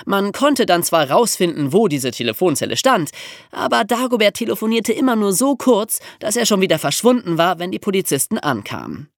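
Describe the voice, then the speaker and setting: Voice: mid-range voice, big pitch swings, a little fast, dry recording, clean studio sound. A podcast host telling true crime stories for young listeners in an amused, colloquial way.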